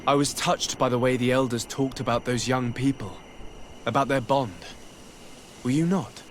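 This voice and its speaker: A man acting a polite, empathetic diplomat hero in a video game, speaking in a calm, courteous way. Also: medium pitch, normal speed, slight background noise, close to an everyday voice. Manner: courteous, even-tempered.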